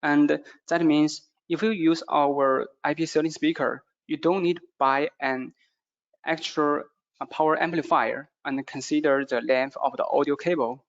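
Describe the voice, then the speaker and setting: A man who is a non-native English speaker gives a product presentation in a halting way, with frequent hesitations. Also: on the high side, steady tone, muffled recording. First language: not English.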